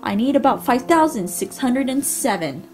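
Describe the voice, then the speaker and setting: A woman's voice: fairly high pitch, very animated, normal speed, soft background music. Woman playing a bellowing, boastful turtle king. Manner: loud, bellowing, commanding.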